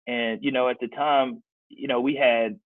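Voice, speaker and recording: A man's voice: medium pitch, steady tone, moderate pace, no room sound. A man from Kansas City, Missouri, casually telling his life story as a guest, with many restarts. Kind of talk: casual podcast chat. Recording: dry, tinny phone-like sound.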